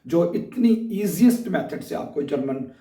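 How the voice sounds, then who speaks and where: A man teaching a beginner language lesson with a sore throat, chatty and often pausing to check in with his viewers. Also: fairly high pitch, animated, warm tone, reverberant, recorded from a distance. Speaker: German teacher. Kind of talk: casual online language lesson.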